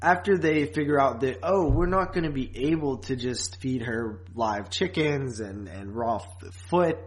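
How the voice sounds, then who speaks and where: A man's voice: mid-range voice, unhurried. A man co-hosting a podcast, recapping a TV series in casual, rambling, informal talk. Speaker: podcast co-host.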